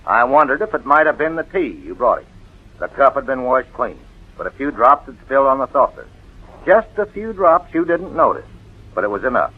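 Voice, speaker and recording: Grave 1940s radio doctor, muffled. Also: male voice, mid-range voice, sing-song, fairly quick, husky. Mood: firm, accusing. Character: grave, knowing family doctor, calmly accusing. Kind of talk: 1940s radio drama acting. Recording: muffled vintage radio recording.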